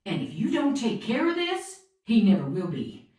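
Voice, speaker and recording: A woman playing a cold, scheming conspirator in a video game, speaking in a deliberate, threatening and accusatory way. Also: mid-range voice, huge pitch swings, a little mellow. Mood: menacing. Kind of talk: video game dramatic dialogue. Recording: distant, heavily echoing voice.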